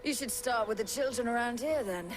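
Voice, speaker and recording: An actress playing a brisk, frank female fishmonger in a fantasy video game, speaking plainly and colloquially. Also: a little high, over music, close to an everyday voice. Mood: frank, resigned. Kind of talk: dramatic video game acting.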